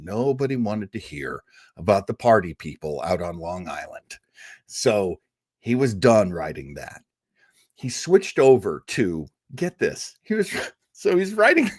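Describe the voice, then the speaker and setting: A man's voice: mid-range voice, dry recording, studio quality. A man hosting a show who chats casually and with enthusiasm as he introduces a radio drama, with many fillers and asides.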